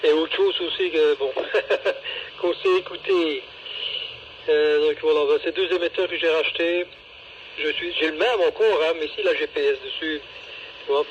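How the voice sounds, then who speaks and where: A man's voice: on the high side, moderate pace, pauses often. A man with a Belgian French accent chatting casually and colloquially over a two-way radio. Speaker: amateur radio hobbyist. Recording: dull narrowband radio link with noise.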